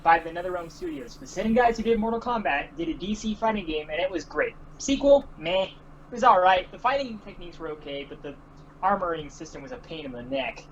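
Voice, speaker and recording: A man's voice: high-pitched, animated, a little fast, muffled recording. A man giving casual opinions and commentary as a review co-host.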